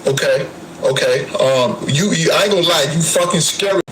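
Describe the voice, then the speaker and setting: High voiced guy on a call, blunt, slangy, repeats words a lot, noisy clip. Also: moderate pace. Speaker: content creator taking a call. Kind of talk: casual phone conversation.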